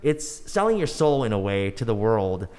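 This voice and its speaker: Low-voiced man, rambling casual talk. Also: sing-song, normal speed, darkish tone. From United States. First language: English. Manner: rambling, frequent restarts and fillers. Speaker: podcast guest. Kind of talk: casual conversational interview.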